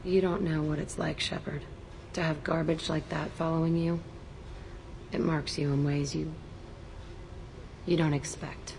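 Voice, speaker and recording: An actress voicing a tough, volatile young female ex-convict who talks rough and defiant, in a game cutscene. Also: deep voice, flat delivery, measured pace, long pauses, a little mellow. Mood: gruff. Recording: game audio with faint ambient noise.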